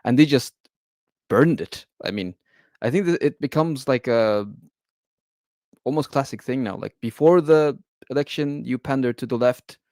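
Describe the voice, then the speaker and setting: A man's voice: medium pitch, moderate pace, darkish tone, no room sound, studio quality. A man from Turkey, a guest on a livestream panel, speaking casually with many fillers.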